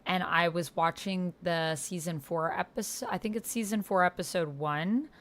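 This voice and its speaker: Low-pitched woman chatting on a livestream. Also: normal speed, fairly bright tone. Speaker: livestream host and tabletop player. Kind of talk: casual livestream chat, reading out typed roleplay.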